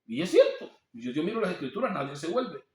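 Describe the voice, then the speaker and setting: A man's voice: sits high, very animated, brisk, creaky, a little mellow. A male pastor preaching a sermon, asking rhetorical questions and quoting scripture. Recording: echoey room.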